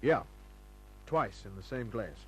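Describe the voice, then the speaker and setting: An actor playing a cool, wary private detective in an old-time radio crime drama, speaking in a terse, wry, clipped way. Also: male voice, on the low side, big pitch swings, a little slow, a little hiss or hum, low-quality recording. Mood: cool, suspicious. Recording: old radio recording with faint music.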